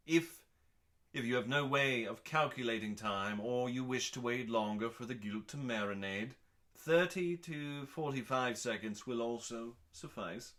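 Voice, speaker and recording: Slow, theatrical man with a bright voice. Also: medium pitch. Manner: theatrical, pompous, deliberate with dramatic pauses. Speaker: host reading comedic cookbook recipes. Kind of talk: mock-dramatic scripted narration.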